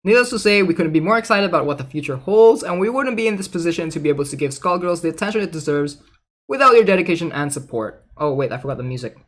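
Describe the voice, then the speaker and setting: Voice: medium pitch, expressive, quick pace. A streamer giving casual, conversational commentary on a game during a livestream. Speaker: streamer, host.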